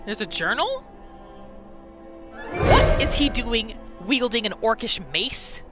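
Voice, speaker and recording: A woman giving casual, expressive gameplay commentary as a roleplay host. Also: a little high, takes it slowly, over loud music. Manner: expressive, exclamatory.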